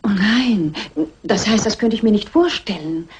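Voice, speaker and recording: A woman's voice: mid-range voice, lively intonation, a little slow, breathy edge. An actress playing a cautious, well-spoken woman in a German radio crime play. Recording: dull, band-limited radio play recording.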